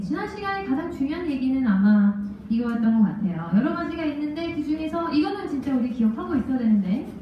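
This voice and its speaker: A woman in her thirties lecturing a class with a handheld microphone, lively and quizzing students, laughing often. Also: high voice, slight background noise. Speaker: language test instructor. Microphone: handheld mic, far camera. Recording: echoey classroom from far off, dull.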